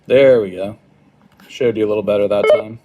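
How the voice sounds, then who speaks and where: A man giving an informal hands-on product tutorial in a hesitant, halting, apologetic manner. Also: lowish voice, fairly even intonation, narrow, tinny audio, very noisy. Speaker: product demonstrator. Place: indoors at a wooden table.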